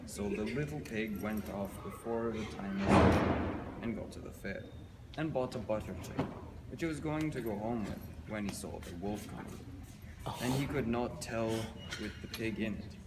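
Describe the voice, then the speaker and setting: A man with a fairly low voice reads a children's fairy tale aloud as a storyteller. He is recorded in a reverberant hall, with clear background noise. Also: expressive, moderate pace.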